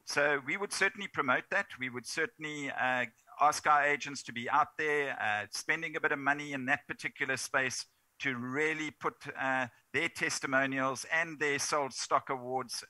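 Middle-aged male broker, very bright and dry voice, emphatic, headset call. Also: mid-range voice, moderate pace, no echo, rough recording. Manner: emphatic, persuasive, stacking adjectives. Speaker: managing broker guest. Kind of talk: video call interview answer. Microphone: headset on video call.

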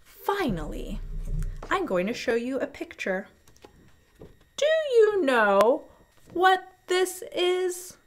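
A young woman hosting a children's storytime, speaking with animated, exaggerated enthusiasm and deliberate enunciation as she teaches. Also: high-pitched. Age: young adult. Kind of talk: children's educational presentation.

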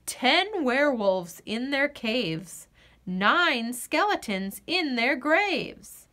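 A woman reading a children's picture book aloud in an expressive, sing-song storyteller's delivery. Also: high-pitched, drawn-out words.

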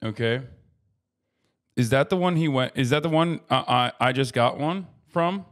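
A man's voice: medium pitch, moderate pace. A man in his thirties giving casual livestream commentary into a close microphone. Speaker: livestream host.

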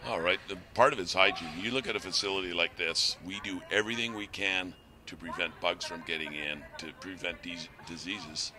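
A middle-aged man from Canada, a nursery company president, answering interview questions casually and rambling with many restarts. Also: low voice. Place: outdoors on a farm, then inside a greenhouse. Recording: noisy outdoor recording.

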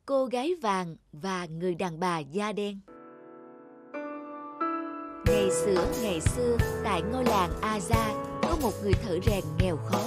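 A woman narrator reading a scripted fairy tale for an animated story. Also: mid-range voice, measured pace, loud music behind the voice.

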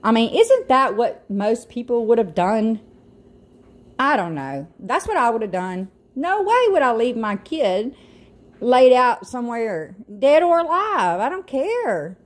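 A woman's voice: fairly high pitch, very animated, a little slow. A woman with a Southern US accent giving casual, emphatic and incredulous opinion commentary to her viewers. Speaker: YouTube commentator.

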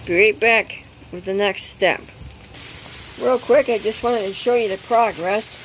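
Slow, hesitant woman cooking outdoors. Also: medium pitch, a little hiss or hum, low-quality recording. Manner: unhurried, hesitant with frequent ums. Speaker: cooking channel host. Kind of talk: casual cooking demonstration. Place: outdoors at a gas grill.

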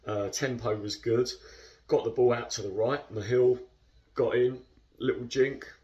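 A man in his thirties, a football club captain, talking through a match in a post-match interview, chatty and measured with many fillers. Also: medium pitch, fairly even intonation, darkish tone, distant mic, highs cut off. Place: indoor club room.

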